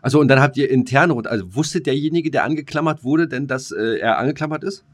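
A man's voice: mid-range voice, quick pace. A man co-hosting a podcast, chatting casually in slangy, colloquial speech with a teasing manner. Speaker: podcast co-host. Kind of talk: casual chat.

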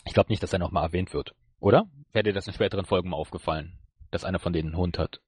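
A man's voice: sits low, steady tone, very fast, darkish tone, breathy edge, no echo, clean studio sound. A man co-hosting a podcast, explaining and reviewing in casual chat. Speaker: podcast co-host.

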